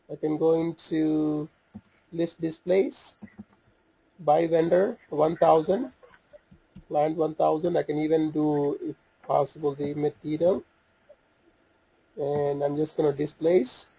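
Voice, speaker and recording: A man presenting an informal software walkthrough, talking haltingly as he thinks aloud while working through the screens. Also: fairly high pitch, gravelly, slightly rough, dry recording, rough recording.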